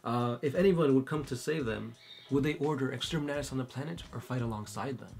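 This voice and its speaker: A man's voice: medium pitch, a little fast, gravelly, a little mellow. A man co-hosting a casual chat and reading viewer questions, easygoing and thinking aloud with uhs.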